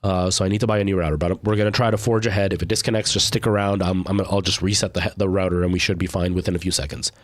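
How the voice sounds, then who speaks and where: A man's voice: deep voice, fairly even intonation, very fast, hardly any pauses, no room sound. A young man with a stuffed-up nose and a lingering cough, chatting casually with viewers while live-streaming a game, rambling with lots of fillers.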